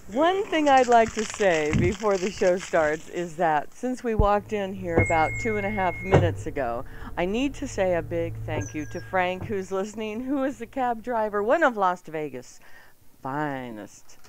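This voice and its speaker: A woman's voice: medium pitch, expressive, measured pace, background noise. A woman hosting a live radio show in a playful, chatty manner. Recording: music bed behind 1990s radio broadcast. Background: music.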